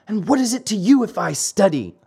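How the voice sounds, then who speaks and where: A man's voice: sits high, big pitch swings, a little fast, gravelly, warm tone, breathy edge, voice pushed up, heavily acted. A man voicing a cheeky, defiant boy marionette in a taunting, singsong storybook manner. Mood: challenging.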